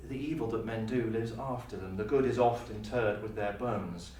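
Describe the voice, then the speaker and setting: A man's voice: lowish voice, normal speed, darkish tone. A man speaking as an auditioning actor, recorded in a room. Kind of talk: audition monologue, pitch and casual interview. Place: echoey university room. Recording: very echoey room, distant mic, faint noise.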